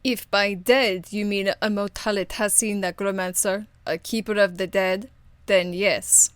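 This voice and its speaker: A woman's voice: mid-range voice, steady tone, a little slow, clean tone, no room sound, close to an everyday voice. A woman voicing a stern, proper female warrior in a video game, speaking formally with a dry, weary exasperation. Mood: solemn. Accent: foreign, European-tinged. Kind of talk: video game character acting.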